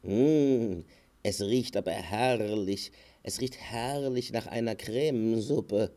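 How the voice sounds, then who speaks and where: A man's voice: lowish voice, slow pace, dark tone. A man voicing a sly fox in a fable, coaxing and mock-polite, playing the falsely generous host. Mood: eager, polite. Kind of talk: acted fable storytelling.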